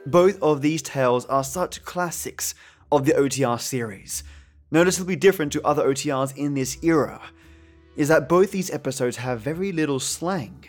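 A man's voice: a little high, normal speed. Chummy male podcast host over music. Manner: chummy, affectionate toward listeners. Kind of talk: podcast outro, addressed to listeners.

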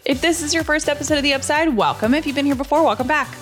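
A woman's voice: high voice, rapid-fire, faint music behind. A woman co-hosting a podcast, talking in a casual, conversational way. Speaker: podcast co-host.

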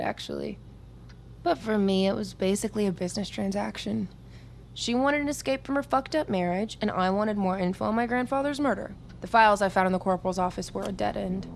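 An actress playing a cocky, foul-mouthed young army recruit in a naturalistic audio drama, with a flippant, teasing manner. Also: female voice, mid-range voice, vocal fry, slight background noise, natural voice. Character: brash, cocky young female army recruit, manipulative, wounded.

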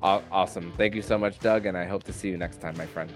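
A man hosting a sports podcast in a conversational style, with a somewhat halting delivery that repeats words. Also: deep voice, fairly even intonation, faint music behind.

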